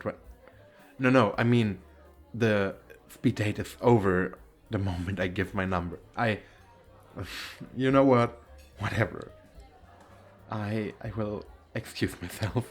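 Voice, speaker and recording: Man acting a shy, softly flirty crossdressing guy. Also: deep voice. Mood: flustered. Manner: hesitant, stammering, giggly. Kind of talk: ASMR roleplay.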